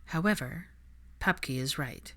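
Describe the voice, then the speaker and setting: Female reader as young male aristocrat, low pitch, dry, measured, patient, wry, audiobook fiction. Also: a bit bright, heavily acted. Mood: calm, matter-of-fact. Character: patient, wry, aristocratic young father, liberal yet rigid.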